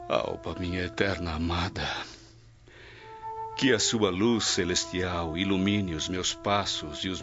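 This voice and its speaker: Man as a deep, slow old Roman father. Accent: Brazilian. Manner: solemn, yearning. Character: anguished, devout old Roman patrician father. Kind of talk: radio drama acting. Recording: dull audio with music behind.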